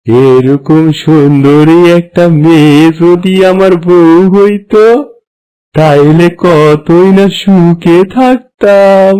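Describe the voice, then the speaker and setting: A man voicing a lonely, lovesick old village widower in a cartoon, with a Bangladeshi Bengali accent and drawn-out delivery. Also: on the high side, dark tone, smooth, low-quality recording. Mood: wistful longing. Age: older. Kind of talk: cartoon moral story voice acting.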